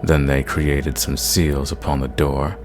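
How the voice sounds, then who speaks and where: A man voicing a centuries-old sadistic vampire in an ASMR roleplay, possessive and condescending, savoring his words and sometimes dropping to a breathy whisper. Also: level tone, a little slow, darkish tone, faint music behind. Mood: bitter, brooding. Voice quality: deep, gravelly.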